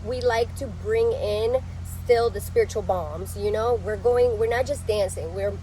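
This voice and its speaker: A young woman from Ecuador, chatting upbeat and warmly as an interview guest, with lots of "like" and "um". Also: medium pitch, measured pace, rough recording. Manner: warm, chatty, upbeat, frequent "like" and "um". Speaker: guest, yoga teacher and event facilitator. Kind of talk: casual interview chat. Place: outdoors at a festival, traffic around. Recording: outdoor street noise.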